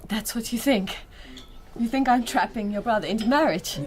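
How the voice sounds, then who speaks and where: A woman's voice: a little high, moderate pace, natural voice. An actress playing a guarded woman in her thirties in a radio drama, with terse, challenging speech. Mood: defiant. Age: 30s.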